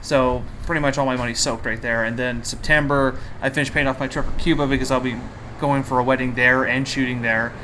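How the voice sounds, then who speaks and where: A man's voice: mid-range voice, fairly even intonation, a little fast, bright, forceful tone, rough recording. A man hosting an informal podcast interview in a casual, chatty way, with some mild swearing. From Canada. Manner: informal, mildly profane. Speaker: podcast host. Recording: faint background noise.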